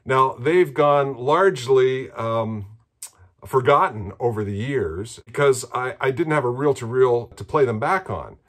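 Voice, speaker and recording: A man, explaining things in a casual, halting vlog style at home. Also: mid-range voice. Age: 60s. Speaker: musician and presenter. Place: small rooms in a home.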